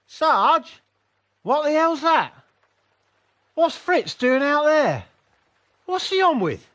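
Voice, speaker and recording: Man playing a rough, animated trench soldier. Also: very high-pitched, slow, no echo, full character voice. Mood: alarmed. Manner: coarse, questioning. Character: rough, incredulous male First World War infantryman. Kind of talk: radio drama acting.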